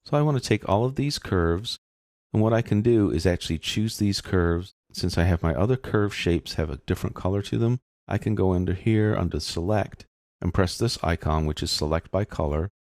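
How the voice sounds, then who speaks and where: A man with a very low, creaky voice narrates a software tutorial. His tone is dark and mellow, and he explains each step informally, thinking aloud as he goes. The sound is dry and close. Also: normal speed, no room sound. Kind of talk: step-by-step screencast narration, unscripted.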